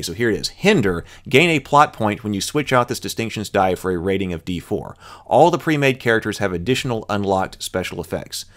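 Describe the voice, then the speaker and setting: A middle-aged man reading a tabletop game rulebook aloud and thinking out loud between passages. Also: fairly low pitch. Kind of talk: reading rules aloud with commentary.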